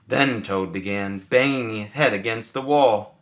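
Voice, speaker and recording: A man narrating a children's bedtime story as a dad would, in an expressive, measured, singsong way. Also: fairly low pitch. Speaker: dad reading bedtime stories.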